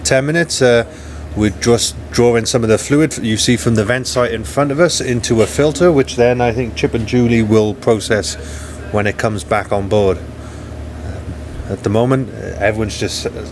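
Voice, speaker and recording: A Welsh man giving relaxed, lightly joking live commentary from a ship's control room. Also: medium pitch, moderate pace, slight background noise. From Wales. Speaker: scientist narrating a live dive broadcast.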